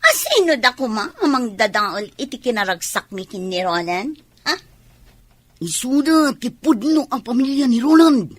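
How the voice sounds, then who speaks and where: An actress with an Ilocano accent playing a defiant, selfish mistress in a dramatic, haughty radio drama style. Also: female voice, sits high, fairly bright tone, no echo, full character voice. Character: defiant, selfish mistress torn over her married lover.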